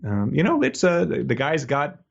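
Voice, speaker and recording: A man's voice: medium pitch, big pitch swings, normal speed, vocal fry, dull audio. A man co-hosting a casual book discussion, joking wryly as he comments. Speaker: podcast co-host.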